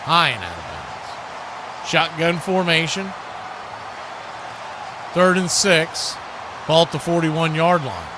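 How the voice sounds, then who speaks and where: A man's voice: on the high side, very animated, slow, long pauses, background noise. A man hosting a livestream, calling football play-by-play and chatting casually with viewers. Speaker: livestream host and play-by-play commentator.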